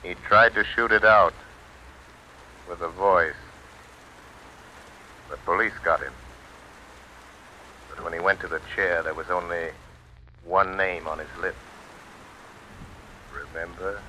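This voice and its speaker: A slow menacing invisible crimefighter, really sharp forceful voice, old radio drama. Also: male voice, low voice, steady tone, exaggerated voice. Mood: ominous. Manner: commanding, ominous, deliberate. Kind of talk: 1930s radio drama acting. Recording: noisy old-time radio recording with rain.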